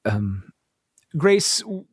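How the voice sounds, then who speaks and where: A wry male space commander from a radio drama, played by a man. He talks very slowly and hesitantly, with self-deprecating wit, in a close, very dry sound. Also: mid-range voice, very animated, breathy edge, no room sound, heavily acted. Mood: hesitant, earnest. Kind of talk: audio drama acting.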